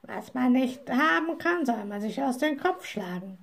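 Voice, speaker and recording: Storybook raven, male, played by a woman: screechy croak, raised pitch, blunt scolding know-all. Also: lively intonation, a little slow, exaggerated voice. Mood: soothing, admonishing. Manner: reproachful, lecturing. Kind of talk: storybook character voice.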